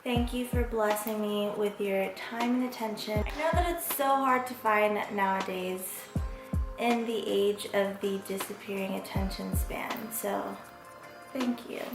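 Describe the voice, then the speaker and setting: A young woman in her twenties talks candidly and reflectively to the camera, swearing casually as she goes. Her voice has a strong vocal fry. It sounds echoey, with music clearly playing behind her. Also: medium pitch. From Las Vegas, USA. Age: 20s. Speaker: vlog host. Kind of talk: casual vlog monologue. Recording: echoey room with background music.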